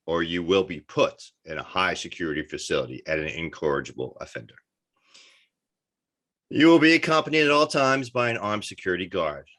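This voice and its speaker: Man as a deep-voiced, stern prison warden. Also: a bit bright. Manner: formal, authoritative, measured. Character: stern, formal male prison warden laying down sentence. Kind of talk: screenplay table read.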